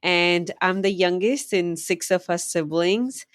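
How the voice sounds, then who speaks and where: A woman in her thirties, born in Pakistan, speaks as a podcast guest with a slightly low voice. Her talk is open and upbeat, and she laughs easily. The recording is dry and close. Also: takes it slowly, no room sound. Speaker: guest, life coach. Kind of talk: interview conversation.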